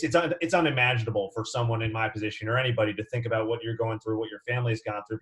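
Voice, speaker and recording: A man hosting a casual interview, conversational and halting as he searches for his words. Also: mid-range voice. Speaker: interviewer, host.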